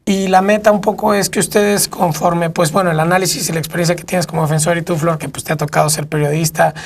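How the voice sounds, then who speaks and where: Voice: mid-range voice, flat delivery, fast, gravelly. A co-host informally introducing a studio interview.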